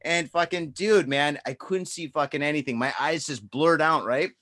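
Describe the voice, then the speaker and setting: A man's voice: fairly high pitch, normal speed, fairly bright tone. A man in his forties telling a rambling, profane story in casual podcast chat, peppering it with "dude" and "man". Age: 40s. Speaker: podcast co-host.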